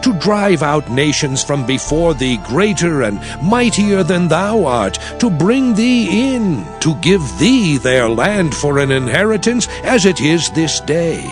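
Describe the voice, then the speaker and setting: An actor playing Moses, a solemn prophet and leader, in grave, emphatic scripture oratory for an audio drama. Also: male voice, on the high side, big pitch swings. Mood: fervent exhortation. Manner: grave, emphatic, measured declaiming. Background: music.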